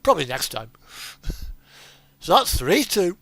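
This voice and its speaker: Animated high-pitched man chatting over a game. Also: unhurried, no room sound. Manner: sweary, talking to himself and the game. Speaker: streamer playing a truck driving simulator. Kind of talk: casual gaming commentary.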